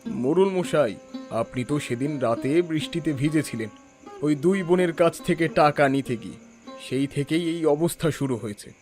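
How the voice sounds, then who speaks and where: Man playing a greedy village doctor, cartoon, fairly high voice, wheedling. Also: brisk, darkish tone, soft background music, natural voice. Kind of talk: cartoon voice acting.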